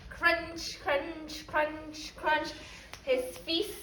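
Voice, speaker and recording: A woman's voice: sits high, flat delivery, drawn-out words, pushed and bright. A woman teaching young children as a preschool teacher, prompting them with simple, repeated questions and phrases. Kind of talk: teaching young children, question-led lesson and picture book read-aloud. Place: indoor classroom. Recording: roomy, distant mic, faint background noise.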